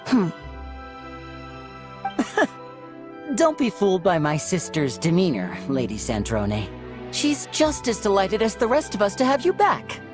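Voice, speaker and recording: A polite young game character with a medium-pitched, expressive voice. She speaks very slowly and graciously, gently teasing, over clear music. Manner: polite, unhurried. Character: courteous, composed, gently teasing young woman. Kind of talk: video game character acting. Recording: loud game music behind.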